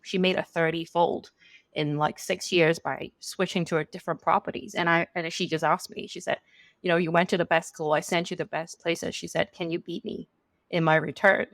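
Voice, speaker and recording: A woman's voice: on the low side, creaky, fairly bright tone, no room sound. A middle-aged woman from Hong Kong who is a venture capital partner, answering interview questions in a chatty way with many "you know"s.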